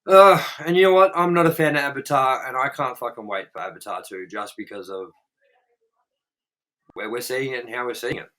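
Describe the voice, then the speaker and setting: A young man co-hosts a film review chat with a blunt, animated delivery full of swearing. His voice sits at an ordinary middle pitch. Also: fairly quick. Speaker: co-host.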